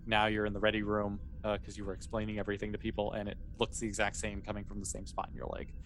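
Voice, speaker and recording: A man's voice: fairly low pitch, completely flat delivery, quick pace, slight background noise. A man acting as game master, narrating a tabletop sci-fi roleplaying game in a casual, conversational, explanatory way.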